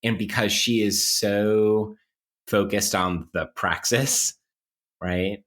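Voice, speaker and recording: A man's voice: fairly low pitch, steady tone, slow, fairly bright tone. A man hosting a casual, intellectual podcast interview, with academic vocabulary and a playfully mock-formal manner. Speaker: podcast host.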